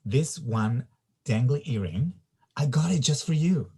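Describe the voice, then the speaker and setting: An actor playing a jealous, insecure boyfriend in a screenplay table read, defensive and theatrical. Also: male voice, medium pitch, lively intonation, measured pace, warm tone, breathy edge. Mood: possessive. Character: jealous, insecure, possessive boyfriend with dramatic flair.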